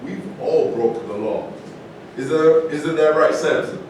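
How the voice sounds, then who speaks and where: A man's voice: measured pace, darkish tone, lightly acted. A man in a staged reading, playing a tough, blunt, combative boxing champion who made his own way up. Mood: needling. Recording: echoey room, distant mic, noisy rumble.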